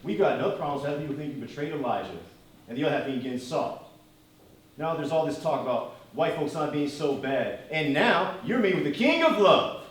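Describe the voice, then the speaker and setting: A man's voice: fairly high pitch, expressive, a little fast, very echoey, close to an everyday voice. Guy acting hard-headed loyal aide onstage, urgent n pleading, distant mic, faint noise. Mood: pleading, uneasy. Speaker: stage actor. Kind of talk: live one-act stage play acting.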